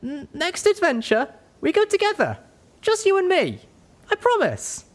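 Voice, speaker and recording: A man's voice: very high voice, wildly swooping pitch, unhurried, pitched above the performer's own voice, full character voice. A man voicing an anxious, devoted young female pony adventurer in an audiobook, earnest and fretful. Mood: earnest, pleading. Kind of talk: audiobook character voice.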